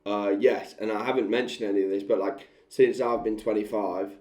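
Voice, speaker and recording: A man's voice: on the low side, steady tone, fairly quick, a little mellow. A man in his mid-twenties co-hosting a podcast, chatting casually with jokes and swearing. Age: 20s. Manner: swearing, joking banter. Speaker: podcast co-host. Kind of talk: casual chat. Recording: echoey room.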